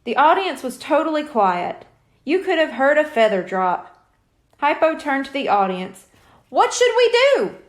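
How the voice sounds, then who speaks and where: High, animated woman reading a children's story. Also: measured pace. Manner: expressive. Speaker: children's audiobook reader. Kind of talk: scripted storybook narration.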